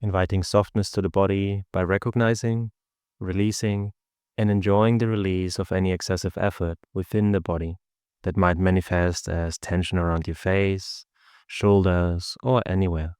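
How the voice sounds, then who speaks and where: Low, smooth male meditation guide. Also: no echo, clean studio sound. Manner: calm, measured, instructional delivery with pauses. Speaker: meditation teacher.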